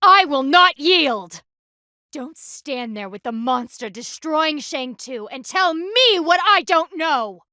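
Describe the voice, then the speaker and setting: A proud, stern panda guardian from a video game. Her voice sits fairly high and is recorded dry and close. She speaks formally and haughtily, clipping her words like a military commander. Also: lively intonation, slow pace, bright, forceful tone, no room sound, heavily acted. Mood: urgent, outraged.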